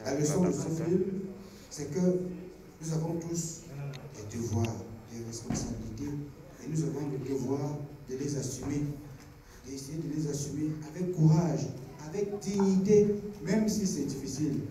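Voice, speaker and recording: A Cameroonian man co-hosting a film club, speaking didactically to young people, deliberately and with repeated phrases for emphasis. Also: on the high side, a crowd in the background. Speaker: co-host of a film club discussion. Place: screening hall with audience. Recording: very echoey, noisy hall, distant microphone.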